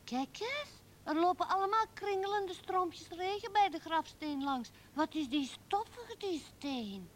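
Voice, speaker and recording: A woman's voice: very high voice, expressive, unhurried, hardly any pauses, a little hiss or hum. A woman playing a small, curious child in a radio drama, plaintive and full of simple wonder.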